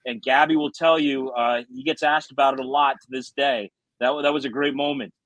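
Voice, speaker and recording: A man's voice: mid-range voice, steady tone, a little fast, a bit bright, no echo, rough recording. A middle-aged American man, a former baseball radio announcer, telling stories conversationally as a podcast guest. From South Florida, United States. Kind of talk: conversational storytelling.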